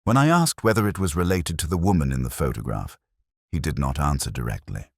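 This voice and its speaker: A man reading scripted literary detective fiction in the first person, in a measured, formal, brooding manner. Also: rumbling low, expressive, slightly rough, dry recording, studio quality. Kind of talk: scripted literary mystery narration.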